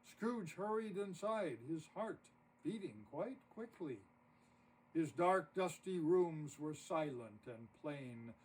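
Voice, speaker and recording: A man narrating a rhymed story poem expressively, with dramatic, deliberate phrasing. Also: on the high side, expressive, husky. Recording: distant mic with audible noise.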